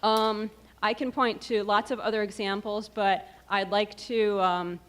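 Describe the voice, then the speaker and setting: A woman faculty member giving panel discussion remarks in a measured way, pausing often on fillers. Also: medium pitch, steady tone, a little hiss or hum. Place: lecture room.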